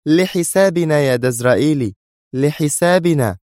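A man voicing a fierce, domineering female spy villain, stern and sharp-tempered, in an audiobook thriller. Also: fairly high pitch, animated, normal speed, dry recording, studio quality, voice pushed up, natural voice.

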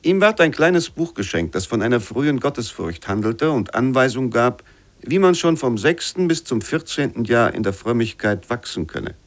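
A man narrating scripted literary prose for a radio play in a measured, formal manner. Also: medium pitch, very animated.

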